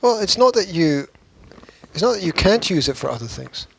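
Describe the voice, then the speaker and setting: A man's voice: high-pitched, sing-song, normal speed, gravelly, slight background noise. A man co-hosting a casual podcast, bantering in a teasing, matter-of-fact way. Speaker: podcast co-host.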